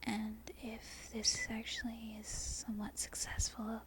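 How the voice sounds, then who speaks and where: A woman from Australia with a softened Australian accent, whispering an unscripted ASMR ramble in a halting, breathy way, often correcting herself. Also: a little high, completely flat delivery. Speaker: amateur ASMR video creator. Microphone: non-binaural microphone.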